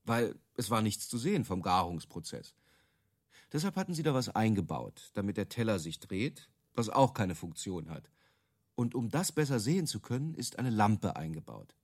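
Lively, low-voiced cynical inventor. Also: male voice, measured pace, heavily acted. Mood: wry explaining. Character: cynical, self-assured male inventor of useless product features being interviewed. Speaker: interviewee. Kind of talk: conversational radio drama acting.

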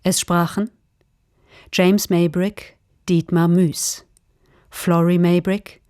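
A woman's voice: fairly low pitch, level tone, takes it slowly, a little mellow, no echo, studio quality. A woman announcer reading scripted credits in a formal announcement style.

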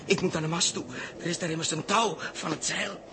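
An actor playing an anguished, injured spaceman in a 1960s radio drama, halting and pleading as he repeats his words. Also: fairly high pitch, fairly even intonation, natural voice. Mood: frantic. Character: anguished injured young spaceman, guilt-ridden and desperate. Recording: noisy vintage 1960s radio recording.

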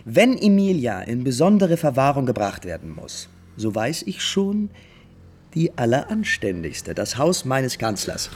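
Smooth, scheming, courtly prince. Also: male voice, medium pitch, sing-song, a little slow, darkish tone. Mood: persuasive. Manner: courtly, condescending, declamatory. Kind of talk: 1950s German radio drama acting. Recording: vintage 1950s radio recording.

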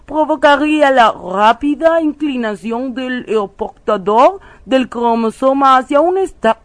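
An actress plays a French woman scientist in a radio play, with a raised pitch and a French accent. She speaks slowly and formally, hesitating often as she delivers solemn pseudo-science. The sound is dry and close. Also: no room sound. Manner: halting, hesitant, formal lecturing. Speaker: interviewed expert guest. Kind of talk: satirical radio theatre interview.

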